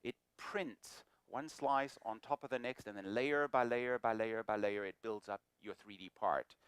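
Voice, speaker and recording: A man's voice: on the low side, measured pace, clean tone, no room sound. Jokey lecturing man, dry close sound. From New Zealand. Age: middle-aged. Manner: jokey, self-deprecating, lively. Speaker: guest speaker. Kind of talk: lecture talk. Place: lecture room.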